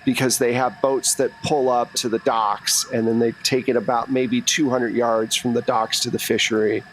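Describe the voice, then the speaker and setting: A man runs a tabletop game as game master, describing scenes off the cuff. His pitch is mid-range and his delivery is conversational. Clear background noise sits behind him. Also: little pitch movement, normal speed, rough recording. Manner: casual, conversational. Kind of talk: improvised tabletop game narration.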